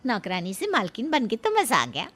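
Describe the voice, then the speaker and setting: A woman's voice: a little high, wildly swooping pitch, normal speed, fairly bright tone, soft background music, full character voice. A woman playing a smug, haughty young maid turned mistress in a family melodrama story, gloating and bossy.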